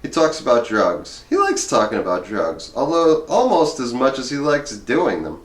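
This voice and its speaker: A man's voice: mid-range voice, sing-song, moderate pace. A man reading a memoir aloud in a wry, conversational way, with casual asides. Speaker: reader of a memoir. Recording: roomy, echoing room sound.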